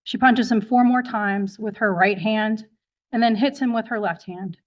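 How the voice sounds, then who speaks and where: A woman's voice: mid-range voice, moderate pace. Lo-fi courtroom audio, methodical woman prosecutor. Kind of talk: reading a documented log aloud in court.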